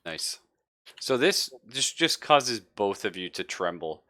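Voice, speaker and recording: This is a man with a very low but bright, forward voice. He runs a tabletop roleplaying game as game master. He improvises his narration casually, often halting to think aloud. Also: big pitch swings.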